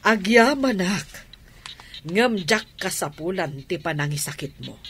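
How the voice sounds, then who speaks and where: An actress playing a trusting, worried wife in a radio drama, with an Ilocano accent. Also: female voice, on the low side, animated, measured pace, slight background noise. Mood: defensive. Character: trusting, worried wife, gently defending her man. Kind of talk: Filipino radio drama acting.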